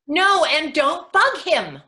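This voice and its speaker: A woman's voice: high-pitched, sing-song, slow, bright, forceful tone, heavily acted. A woman playing a defensive mother of a teenage son in a theatrical staged reading. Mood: worried, defensive. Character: defensive mother of a high school valedictorian son.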